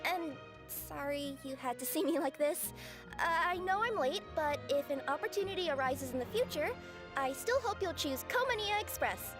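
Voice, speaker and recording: Young female yokai courier, high-pitched, earnest, flustered, apologetic, anime game acting. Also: expressive, moderate pace, over music. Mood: polite, sheepish.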